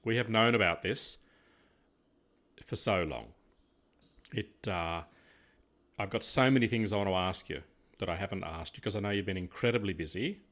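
Older aussie bloke on a podcast, lowish voice, chatty, restarts his thoughts a lot. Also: moderate pace, long pauses, fairly bright tone. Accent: Australian. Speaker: podcast co-host. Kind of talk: casual chat.

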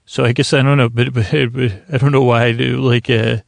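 A man with a creaky voice hosts a bedtime sleep podcast in a lulling, soothing, meandering monologue, often halting and trailing off mid-thought. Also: mid-range voice, little pitch movement, no echo. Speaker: podcast host.

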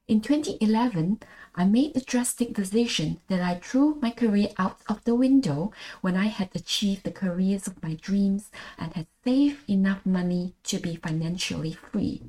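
A woman's voice: mid-range voice, lively intonation, normal speed, warm tone, rough recording. A middle-aged woman from Malaysia with a Malaysian English accent, hosting a scripted solo podcast in an earnest, read-aloud manner. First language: Bahasa Malaysia. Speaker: podcast host.

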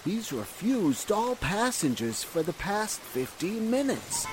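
A man acts a deferential doorman in old-time radio style. His highish voice rises and falls a lot at an unhurried pace, with rain and street noise clearly behind. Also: big pitch swings, exaggerated voice. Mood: obliging. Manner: polite, servile. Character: deferential, chatty male doorman.

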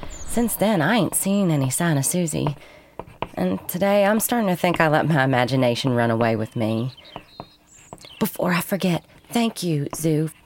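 A woman's voice: sits low, lively intonation, normal speed, a little mellow, slight background noise. An actress playing Honor, a Southern woman with a Southern accent, confiding her thoughts in a letter. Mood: wistful. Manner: wistful, confiding. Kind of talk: narrating a letter.

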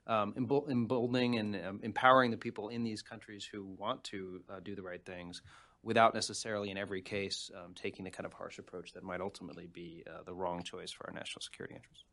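Fast-talking low-voiced man on a panel. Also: level tone, gravelly, a bit bright. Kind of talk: conversational policy discussion.

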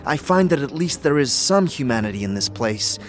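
Audio drama warrior, male actor: haughty, touchy, archaic and formal, medium pitch, music in the background. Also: very animated, fairly quick, close to an everyday voice. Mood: guarded, haughty. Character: proud, stiffly formal, easily offended otherworldly warrior. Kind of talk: fantasy audio drama acting.

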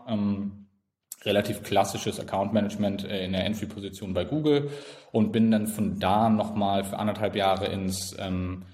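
A man in his thirties with a northern German accent and a fairly low voice, speaking as a guest in a relaxed interview. He hesitates often, filling pauses and restarting his sentences as he thinks. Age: 30s. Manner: hesitant, many fillers and restarts. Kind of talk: casual interview talk.

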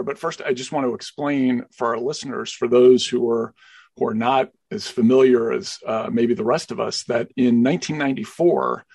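Male podcast host; gravelly, husky voice; close, dry recording. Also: mid-range voice, a little mellow, no echo. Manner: repeats words when starting sentences. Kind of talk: podcast interview hosting.

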